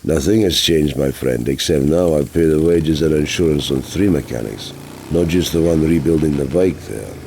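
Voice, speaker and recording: A man voicing a genial older Greek immigrant mechanic, relaxed and friendly, with a Greek accent, in an audiobook. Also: rumbling low, moderate pace, vocal fry, a little hiss or hum. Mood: contented.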